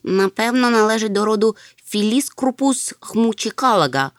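A woman voicing a fussy, slow-witted male insect-collecting creature in a storybook, with a muttering, pedantic manner. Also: on the high side, lively intonation, dry recording, clean studio sound, voice pushed up, lightly acted. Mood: pompous.

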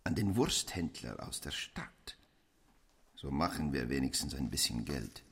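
A man's voice: rumbling low, expressive, measured pace, exaggerated voice. An actor in a German radio play playing a crude, bossy man who acts as mock-solemn officiant, in a theatrical, declamatory way. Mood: gruff, scolding.

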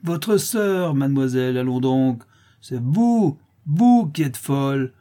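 Man acting a furious, rough peasant widow, husky. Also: lowish voice, normal speed, warm tone, natural voice. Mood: scornful. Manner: coarse, accusing, exclamatory. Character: rough, bitter, furious forty-year-old peasant milkmaid widow.

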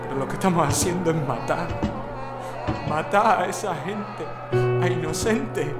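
A guilt-wracked man at war in an audio drama. His voice is high and echoey, full of anguish, over loud music. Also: unhurried. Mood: bitter anguish. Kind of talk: dramatic audio fiction monologue.

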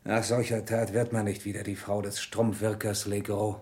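A man's voice: on the low side, flat delivery, normal speed, a little mellow, natural voice. An actor playing a bitter, weary, impoverished craftsman husband in a German radio play, terse, subdued and reproachful.

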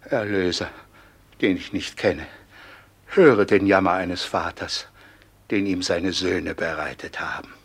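Slow, husky, wretched old nobleman. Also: sits low, full character voice. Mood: lamenting. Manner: slow, lamenting, solemn declamation. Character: wretched, starved old nobleman father, imprisoned in a tower. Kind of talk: classic German radio drama acting.

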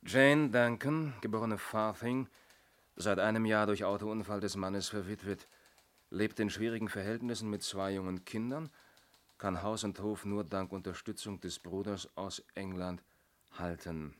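Actor as low-voiced, businesslike policeman. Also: steady tone, measured pace, close to an everyday voice. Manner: businesslike, inquisitive. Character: brisk, questioning male police colleague. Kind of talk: 1970s German radio play acting.

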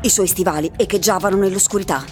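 An actress narrating a bleak futuristic story for a radio drama, in a measured literary style, with music behind her. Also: medium pitch, a little mellow, lightly acted. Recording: music bed under the voice.

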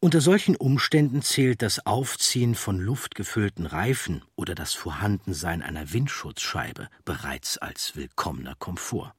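Deep, husky man narrating a documentary audiobook. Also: lively intonation, moderate pace, few pauses, no echo, studio quality.

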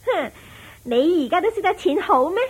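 A high-voiced teasing elder sister. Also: female voice, lively intonation, moderate pace. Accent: Hong Kong Cantonese. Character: caring, teasing elder sister, humble seamstress. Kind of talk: Cantonese radio drama acting.

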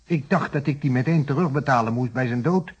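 A man's voice: medium pitch, a little fast, husky. An actor playing a cornered, defensive man under questioning in a radio play, apologetic and forever justifying himself. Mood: self-justifying. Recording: muffled vintage radio play recording.

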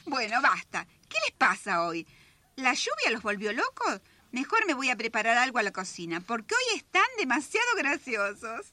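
A woman's voice: a little high, wildly swooping pitch, moderate pace, bright, forceful tone, no echo, heavily acted. An actress playing an older Argentine wife and mother in a radio drama, sensual, coquettish and theatrical, with a Rioplatense accent. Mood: bustling, playful.

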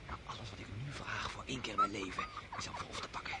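A young actor playing an eager young nobleman on a wolf hunt, excitable and swinging from hushed to shouting, in a hissy vintage radio play. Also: medium pitch, fast. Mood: hushed hopeful. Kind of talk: vintage radio drama acting.

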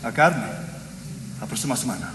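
A man's voice: animated, fairly quick, background noise, close to an everyday voice. Man playing older Sicilian inmate, bitter, resigned, repetitive listing, theatre monologue, echoing space. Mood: weary, resigned. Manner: low, repetitive listing, subdued. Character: older imprisoned Giuseppe, weary, bitter convict remembering. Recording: echoey hall, long reverb, music behind.

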